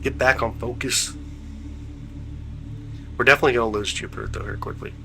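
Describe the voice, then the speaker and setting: Laid-back congested man with gravelly voice. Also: mid-range voice, steady tone, a little fast, sharp tone, faint music behind. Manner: laid-back, slangy, chatty. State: stuffed up, congested. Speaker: livestream host of telescope views. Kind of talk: casual chat with live chat viewers.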